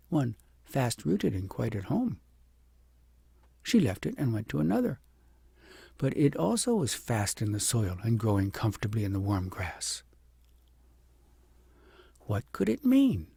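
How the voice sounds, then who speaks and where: Gravelly man reading a storybook. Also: medium pitch, big pitch swings, moderate pace, long pauses, warm tone, dry recording. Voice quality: gravelly, husky. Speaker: audiobook narrator. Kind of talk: expressive scripted storybook narration.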